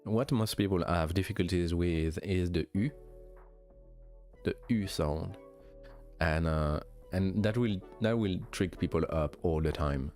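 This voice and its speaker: A young man with a light French accent, a streamer chatting casually with viewers, relaxed and hesitant with many fillers and restarts. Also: deep voice, a little slow, soft background music. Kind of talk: casual chat answering viewers.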